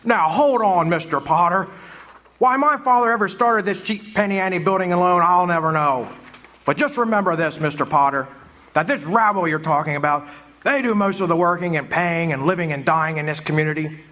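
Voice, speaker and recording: A man's voice: high voice, fairly quick, pushed and bright. An actor playing an eager, talkative young man full of big plans in a live radio play. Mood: defiant. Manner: eager, rambling, boyish.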